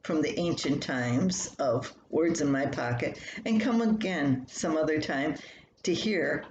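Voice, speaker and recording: A woman's voice: deep voice, unhurried, darkish tone, muffled recording. An older woman reading her own personal, humorous column aloud in a folksy, conversational storytelling way. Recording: roomy home recording with faint noise.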